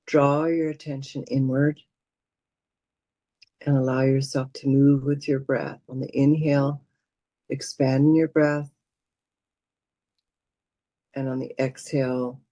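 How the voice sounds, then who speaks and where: A woman leading a guided meditation as a teacher, speaking in a gentle, soothing manner with unhurried pauses. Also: a bass voice, dry recording, rough recording.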